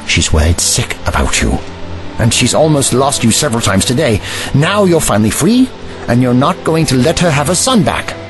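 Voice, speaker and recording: A man playing a calm, protective, wry time-travelling adventurer with measured, formal phrasing in an audio drama. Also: medium pitch, over music, natural voice. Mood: earnest, reassuring. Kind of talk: fan audio drama acting.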